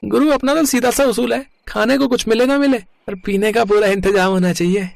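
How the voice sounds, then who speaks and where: Man voicing a high-pitched cheeky truck sidekick. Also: fairly quick, vocal fry. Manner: coaxing, slangy. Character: cheeky, hungry young male truck helper, eager sidekick. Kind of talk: animated moral story dialogue.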